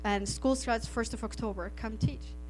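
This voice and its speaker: A woman's voice: on the high side, steady tone, normal speed, a little hiss or hum, poor audio. Earnest missionary woman, native German speaker. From Austria. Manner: earnest, laughs often, restarts phrases. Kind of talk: testimony to an audience.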